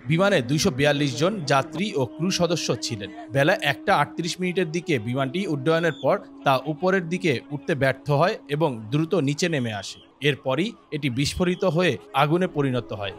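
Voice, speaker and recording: A man's voice: on the high side, expressive, normal speed, few pauses. A man reads a scripted news explainer as a narrator. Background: music bed.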